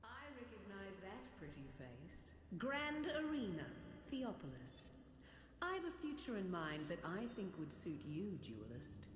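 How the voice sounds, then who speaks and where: A woman's voice: mid-range voice, sing-song, a little slow. A coquettish game villainess who taunts as she flirts. Her theatrical voice rises and falls widely. It rings in a long reverb over faint noise. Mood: flirtatious. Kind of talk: video game character voice lines.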